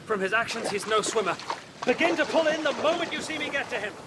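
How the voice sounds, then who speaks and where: An actor playing a brave, confident gentleman in a period audio drama, spirited and well-mannered. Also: extremely high pitch, lively intonation, quick pace, noisy background. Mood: urgent, brave. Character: brave, confident young gentleman with seafaring experience.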